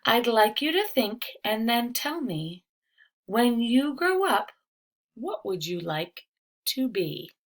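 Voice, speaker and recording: A woman's voice: on the high side, sing-song, slow, full character voice, poor audio. A woman voicing a male schoolteacher who instructs and questions his class in a storybook read-aloud. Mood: instructing.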